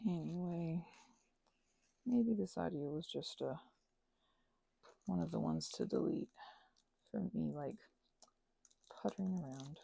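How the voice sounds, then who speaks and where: Mellow, husky woman rambling casually. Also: lowish voice, slow pace, pauses often, no room sound, rough recording. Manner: meandering, trails off into asides. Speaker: ASMR and audio channel creator. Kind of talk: rambling casual chat to listeners.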